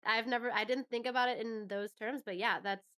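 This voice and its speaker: A woman's voice: a little high, fairly even intonation, fairly quick, pushed and bright, smooth. A woman speaking as a podcast guest in casual, informal chat, with phrases that break off and pick up again.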